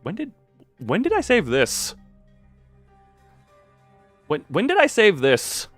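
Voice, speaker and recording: A man's voice: sits high, wildly swooping pitch, slow pace, fairly bright tone, dry recording, soft background music. A man hosting a livestream, rambling casually and jokingly as he goes off on tangents and talks back to chat. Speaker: livestream host, streamer.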